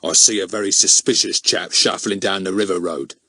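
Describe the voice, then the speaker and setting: A man's voice: low voice, brisk, sharp tone, breathy edge, dry recording, exaggerated voice. A man voicing a sly, gossipy country fellow who drawls in a broad rural dialect.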